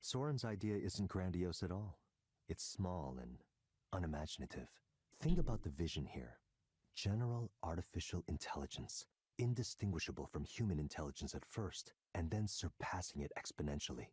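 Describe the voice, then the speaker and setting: An actor playing a grandiose, persuasive tech executive in a visual novel game, speaking in a measured, insistent salesman's manner. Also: sits low, animated, darkish tone, breathy edge, no echo. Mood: visionary fervor. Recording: music behind the voice.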